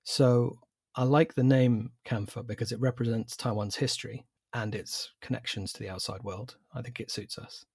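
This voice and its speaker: A man in his forties with an English accent, a publisher answering interview questions in a measured, thoughtful way with some fillers. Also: medium pitch, fairly even intonation, a little mellow, slightly rough, no room sound, clean studio sound. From England. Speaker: publisher, guest.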